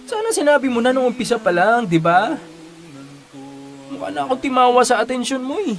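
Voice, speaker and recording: Lovelorn young man, high-pitched voice, pleading, halting, romantic radio drama, faint music behind. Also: animated, vocal fry, exaggerated voice. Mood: hurt, indignant. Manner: pleading, hesitant, repeats phrases. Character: young man confessing long-hidden love to former college schoolmate.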